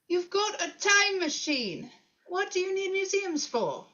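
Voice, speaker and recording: A teasing, impatient young companion played by a woman in a sci-fi script read. Her voice is high and the pace is slow, with a challenging, sardonic edge. The recording is a little dull and lo-fi. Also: sing-song, bright, forceful tone, exaggerated voice. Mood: impatient, pleading. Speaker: actor in a script table read. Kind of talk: dramatic script table read.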